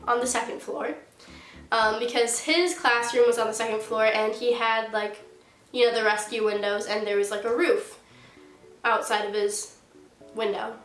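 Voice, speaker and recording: A woman's voice: fairly high pitch, fairly bright tone. A young woman in her late teens with a stuffy, congested nose, casually telling a storytime vlog and saying "like" often. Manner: chatty, heavy use of "like". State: stuffy nose from a sinus infection. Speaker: YouTuber. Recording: roomy echo.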